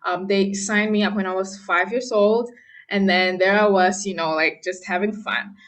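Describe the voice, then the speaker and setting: A woman's voice: medium pitch, fairly even intonation, moderate pace, fairly bright tone. Chatty young woman from Malaysia. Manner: chatty, many fillers, laughs often. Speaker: guest pianist. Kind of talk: casual interview talk.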